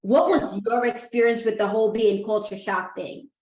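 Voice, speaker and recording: A woman's voice: medium pitch, fairly even intonation, normal speed, a little mellow. A woman hosting an interview, chatty and enthusiastic as she asks her questions. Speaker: host. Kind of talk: casual interview. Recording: roomy echo.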